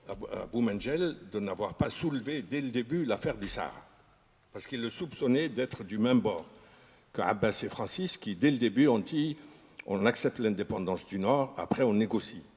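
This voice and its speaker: A man with a husky, slightly low voice talks through historical detail in an unscripted discussion. He hesitates often and restarts his sentences. The sound carries a long reverberant tail. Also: expressive, a little mellow. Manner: halting, many fillers and restarts. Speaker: historian guest. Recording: reverberant room.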